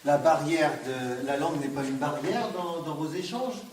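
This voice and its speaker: Male interviewer, slightly high voice, courteous and inquiring, distant echoey pickup. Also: normal speed, a little hiss or hum. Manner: polite, inquiring. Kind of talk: street interview. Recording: distant mic, echoey room.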